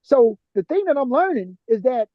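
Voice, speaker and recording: Podcast co-host, male, high voice, eager explainer, dry close mic, muffled lo-fi sound. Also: normal speed, no echo. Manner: excited, talks through genetic terms with restarts. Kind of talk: casual discussion of genetic ancestry.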